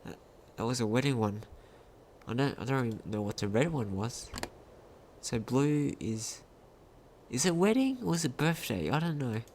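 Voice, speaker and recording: A man's voice: medium pitch, lively intonation, moderate pace, noisy background. A man giving casual let's play commentary, thinking aloud in a hesitant, rambling way. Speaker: gaming video host. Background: video game music.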